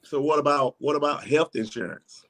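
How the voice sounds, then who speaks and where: A man's voice: fairly high pitch, moderate pace, fairly bright tone. Man in his fifties, folksy interjections. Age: 50s. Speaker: podcast co-host. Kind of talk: casual chat.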